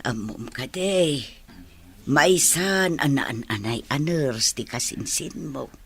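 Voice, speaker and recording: A woman playing a devout elderly grandmother in an Ilocano radio drama, with a creaky, aged voice. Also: a bass voice, expressive, slow, fairly bright tone, heavily acted. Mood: concerned, tender. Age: older.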